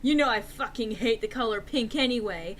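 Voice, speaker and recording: A woman's voice: a little high, animated, brisk, a little hiss or hum, lightly acted. A woman voicing a histrionic, foul-mouthed gothic teenage girl in an angry dramatic reading, with melodramatic outbursts. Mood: furious.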